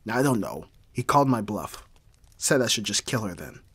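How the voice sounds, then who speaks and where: A man acting a brooding mafia boss, hard but secretly caring, in a dramatic audio roleplay with terse, measured delivery. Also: on the low side, big pitch swings, fairly bright tone, husky, heavily acted. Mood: frustrated. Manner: terse, profane, sighing, measured.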